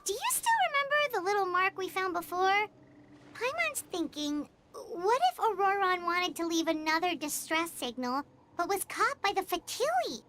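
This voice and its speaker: A woman voicing a tiny, childlike, curious fairy guide in an anime video game, squeaky and eager. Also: sing-song, moderate pace, background noise. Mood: pondering. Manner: refers to self in third person, eager. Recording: game music playing behind.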